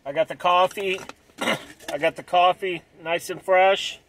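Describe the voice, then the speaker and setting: A man in his forties vlogging from inside a car, talking excitedly in a casual, repetitive way and clearing his throat often. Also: high-pitched, slow pace. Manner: hyped, repeats himself, clears throat often. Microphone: dashboard camera close up.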